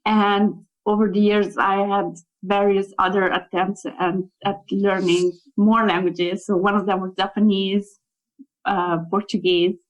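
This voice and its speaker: A woman's voice: medium pitch, flat delivery, measured pace, muffled recording. A young Italian woman, a native Italian speaker, talking about her work as a localization project manager in a casual interview, thoughtful and full of fillers and restarts. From Italy. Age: young adult.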